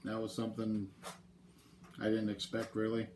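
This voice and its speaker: A man's voice: lowish voice, completely flat delivery, normal speed, darkish tone, a little hiss or hum. A man with a head cold hosting his channel, talking casually through a board game playthrough and explaining the rules step by step. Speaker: channel host.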